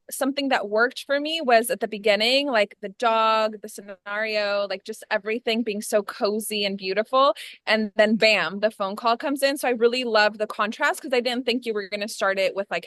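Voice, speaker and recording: A woman's voice: mid-range voice, a bit bright, no echo. A woman giving casual, conversational feedback in a group discussion. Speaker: feedback participant. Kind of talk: casual chat.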